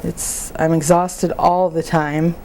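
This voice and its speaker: A woman plays a sad, exhausted young mother confiding in a counseling call. Her voice is slightly low and mellow, and she speaks haltingly in plain words, with faint noise behind her. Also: little pitch movement, rough recording. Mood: weary, grieving. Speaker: grieving mother on a follow-up support call. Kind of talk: role-played counseling phone conversation.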